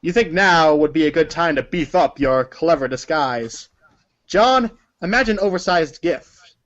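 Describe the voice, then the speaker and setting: A man with a fairly high voice narrates a story aloud. His delivery is dramatic and emphatic, like staged read-along theater. The recording sounds slightly dull and lo-fi. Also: lively intonation, measured pace, a bit bright. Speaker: narrator of a group reading.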